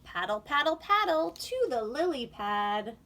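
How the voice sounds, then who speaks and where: A woman reading a picture book aloud to young children in an animated, sing-song, expressive way. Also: high-pitched, measured pace.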